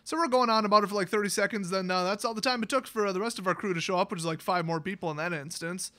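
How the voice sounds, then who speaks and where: A man's voice: high-pitched, very fast, bright, forceful tone. An American man telling stories in a casual, ranting style with a sarcastic, exasperated manner. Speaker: YouTube storyteller and gamer.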